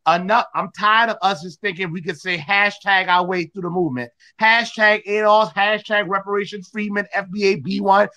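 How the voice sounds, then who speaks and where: High, bright-voiced man hosting a talk room. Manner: emphatic, preachy, repetitive. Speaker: host of an online audio room. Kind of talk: political talk.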